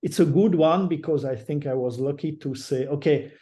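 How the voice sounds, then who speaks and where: Older French-accented guest, mellow tone, halting and self-correcting delivery. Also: male voice, fairly high pitch. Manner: halting, restarts phrases, laughs often. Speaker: guest artist. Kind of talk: casual interview over a call.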